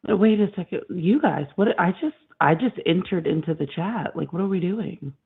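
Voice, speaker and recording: Female co-host, monotone and sarcastic, lowish voice with vocal fry, phone-quality audio. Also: moderate pace. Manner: pointed, sarcastic questioning. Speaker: co-host on call. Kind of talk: live stream debate.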